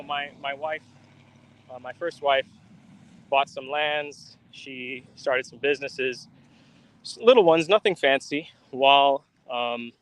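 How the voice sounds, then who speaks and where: A man hosting a casual conversation, answering a caller's questions haltingly and restarting his phrases often. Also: on the high side, fairly bright tone, a little hiss or hum, poor audio.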